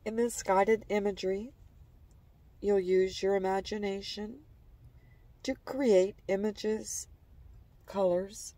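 Slow, mellow woman guiding relaxation. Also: medium pitch, no room sound, distant mic. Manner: calm, gentle, soothing, with trailing phrase endings. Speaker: guided meditation narrator. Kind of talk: slow guided imagery relaxation narration.

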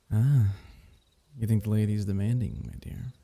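A man acts out a royal diviner from a fantasy bedtime roleplay, gentle and flirtatious. His voice is low and very dark, with a gravelly creak. He speaks intimately, in courtly, teasing phrases. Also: no room sound. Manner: tender, teasing, courtly old-fashioned phrasing, soft chuckles.

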